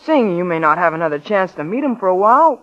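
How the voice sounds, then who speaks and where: An earnest, naive country lad in a 1940s radio play. He is folksy and hesitant, his pitch moves a lot, and the sound is muffled and old. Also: big pitch swings, fast talker, soft background music. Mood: casual, hesitant. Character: earnest, naive small-town young man. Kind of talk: 1940s radio drama acting.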